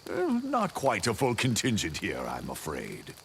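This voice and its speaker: An actor voicing a chivalrous, pompous but bumbling good-natured knight in a video game, with florid, theatrical speech and flustered hesitations. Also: male voice, fairly low pitch, huge pitch swings, measured pace, a little hiss or hum, full character voice. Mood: flustered, hesitant.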